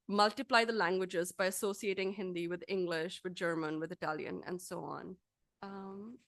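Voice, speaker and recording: Measured woman academic reading a lecture. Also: medium pitch, dry recording. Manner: measured, articulate, formal. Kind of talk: scripted academic lecture, read aloud.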